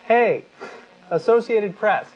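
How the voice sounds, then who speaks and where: A man co-hosting a talk show, making his points in a deliberate, rhetorical, sardonic way. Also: high voice, darkish tone, muffled recording. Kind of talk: opinionated commentary, reading excerpts aloud.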